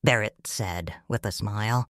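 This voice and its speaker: A woman narrating a first-person audiobook story in a measured, solemn and expressive way. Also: a bass voice, no room sound, clean studio sound.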